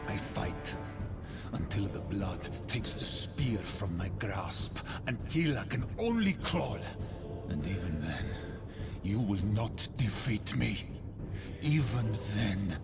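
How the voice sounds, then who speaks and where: Game champion role: battle-hardened male warrior, slow, grandly defiant, widely swinging pitch, loud music behind. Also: very animated, creaky, heavily acted. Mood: grim resolve. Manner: grandiose, measured declamation with gritted-teeth restraint. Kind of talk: dramatic game champion voice lines. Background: loud cinematic theme music.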